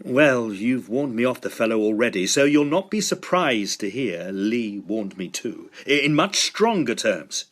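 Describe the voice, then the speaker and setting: An actor playing an earnest, blunt young Victorian student in an audio drama, with emphatic old-fashioned phrasing. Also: male voice, medium pitch, sing-song, measured pace, few pauses. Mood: uneasy, confiding. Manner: emphatic, old-fashioned turns of phrase, some hesitant stammering. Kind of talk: period audio drama acting.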